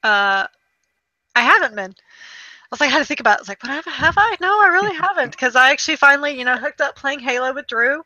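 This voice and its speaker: Woman podcast cohost just chatting, kinda high bright voice, animated storyteller, dry sound. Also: fast talker, dry recording, highs cut off. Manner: animated storytelling with acted-out quotes. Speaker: podcast co-host. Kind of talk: casual chat about films and video games.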